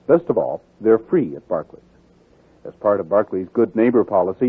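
Lowish-voiced man reading a radio ad. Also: breathy edge. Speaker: commercial announcer. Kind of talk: scripted radio advertisement. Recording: muffled, narrow-band old radio recording.

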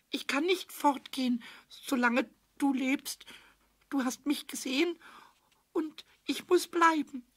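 An actor voicing a small childlike male kobold in a children's radio play, squeaky, stammering and pleading. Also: a little mellow. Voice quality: high, squeaky voice. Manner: stammering, repeating, plaintive.

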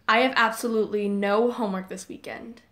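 A woman playing an upbeat, persuasive high school girl in a casual audio drama. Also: steady tone, brisk, fairly bright tone, close to an everyday voice. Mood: eager, coaxing.

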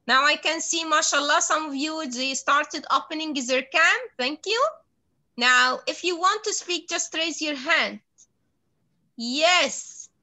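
A woman's voice: sits high, slow pace, pushed and bright. A young adult woman teaching an online English class to children over a video call, encouraging and full of praise. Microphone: computer mic on video call.

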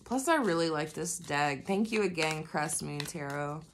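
A woman's voice: sits low, expressive, normal speed, a little hiss or hum. A woman with a nasal voice from a cold, casually doing a tarot reading and chatting to her viewers. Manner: chatty, swears freely, many fillers. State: coming down with a cold. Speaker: tarot reader. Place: indoors at a floor-level reading table. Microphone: camera pointed at the cards, speaker off-frame.

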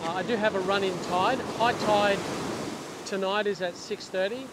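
A man's voice: high-pitched, steady tone, normal speed. A middle-aged man talking casually as he fishes and explains on an ocean beach, with a clip-on lapel mic. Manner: chatty, explains while working, restarts sentences. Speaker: fishing channel host. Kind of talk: casual instructional vlog. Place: open ocean beach outdoors, surf. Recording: loud surf and wind noise. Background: crashing waves and wind.

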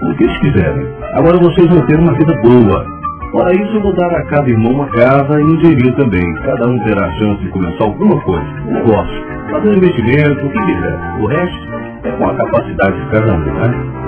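A man's voice: mid-range voice, sing-song, fast talker, natural voice. A man with a Brazilian accent acting a caring, plain-spoken leading man in an old radio soap. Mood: earnest. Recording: narrow, tinny old radio recording. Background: music.

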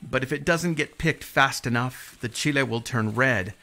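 A man's voice: mid-range voice, normal speed, heavy background noise. A man in his forties with an American accent, delivering scripted narration as the host of a regional TV feature. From southern New Mexico, United States.

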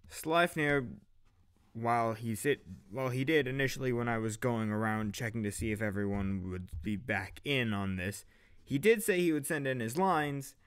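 A man's voice: mid-range voice, fairly bright tone, smooth. A man chatting casually behind the scenes as an audio drama director, with self-deprecating asides.

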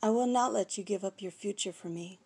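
A woman's voice: medium pitch, brisk, vocal fry, dark tone, breathy edge. A woman voicing a stern, regal young senator and queen in film-style dialogue, speaking formally and resolutely. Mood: firm, pleading. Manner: firm, formal, resolute delivery. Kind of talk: fan voice acting audition, film dialogue.

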